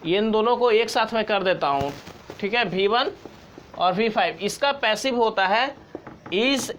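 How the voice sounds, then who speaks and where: A man's voice: high-pitched, fairly bright tone, a little hiss or hum. A man giving an informal tutorial as a teacher, explaining step by step and repeating key points. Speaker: grammar teacher.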